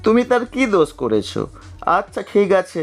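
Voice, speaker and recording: A man's voice: sits high, sing-song, moderate pace. A man voicing an authoritative, sceptical king in a children's cartoon story, with a commanding, questioning manner. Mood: puzzled, dismissive. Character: male village king, authoritative, helpful but sceptical.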